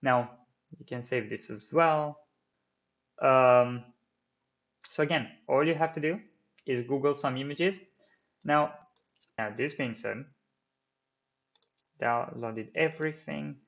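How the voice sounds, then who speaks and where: A man's voice: medium pitch, slow pace, gravelly, long pauses, fairly bright tone. A man whose first language is Romanian, walking viewers through a 3D modelling tutorial at his desk, with many fillers and restarts. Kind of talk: screen-recorded software tutorial.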